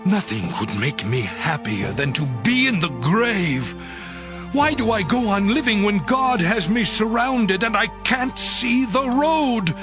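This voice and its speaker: Anguished devout sufferer, high pitch, slow, impassioned, mournful, audio drama. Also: male voice, very animated, over music. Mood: anguished lament. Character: anguished, devout suffering man crying out to God. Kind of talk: dramatized audio Bible acting.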